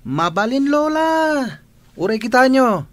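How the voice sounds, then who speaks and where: A man's voice: extremely high pitch, sing-song, unhurried, dry recording, heavily acted. A man playing a cocky, lazy student in a radio drama, talking in a flippant, boastful, pleading way. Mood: cocky, assuring. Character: cocky, lazy male student, breezily self-assured. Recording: clear background noise.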